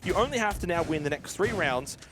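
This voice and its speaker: A man casting a live esports match, calling the action in quick play-by-play that jumps up to shouts in big moments. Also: high voice, lively intonation, music in the background.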